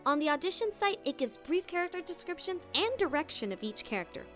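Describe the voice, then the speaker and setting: Casting: female tutorial host, high-pitched, playful and theatrical, lively swings in intonation. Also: fast, soft background music. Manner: animated, theatrical emphasis. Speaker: voice actor and video host. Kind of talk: scripted, playful tutorial narration.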